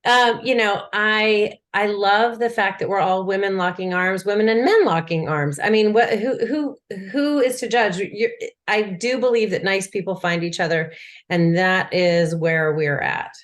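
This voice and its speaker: A woman's voice: medium pitch, unhurried. A woman in her forties speaking as an industry professional, enthusiastic and emphatic in a casual panel talk. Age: 40s.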